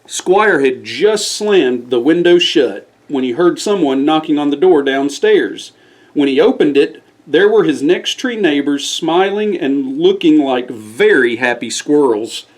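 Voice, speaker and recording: A man's voice: a little high, expressive, unhurried, poor audio. A man reading a scripted bedtime story aloud as the storyteller.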